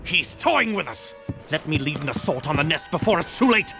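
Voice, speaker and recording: A man playing a hot-headed, arrogant young military commander in a video game, with a forceful, impatient delivery. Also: fairly high pitch, sing-song, fairly quick, gravelly. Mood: impatient, fired up. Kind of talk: dramatic video game villain acting. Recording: loud music under the voice.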